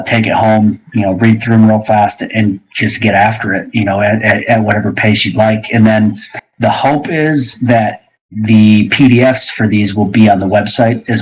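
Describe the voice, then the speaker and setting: A man's voice: fairly low pitch, breathy edge, poor audio. A man casually explaining plans as a show host, in an easygoing, hesitant way. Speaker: game creator and show host.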